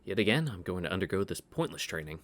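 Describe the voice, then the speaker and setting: A man's voice: sits low, sing-song, fast talker. A man reading a visual novel aloud in a casual read-through, joking and swearing between lines. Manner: reads narration aloud, jokes and swears. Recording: voice over game music.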